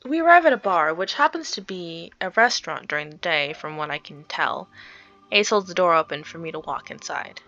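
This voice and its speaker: A woman reading a visual novel aloud in an expressive, theatrical way, with casual reactions as a let's play streamer. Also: on the low side, brisk, lo-fi sound, faint music behind.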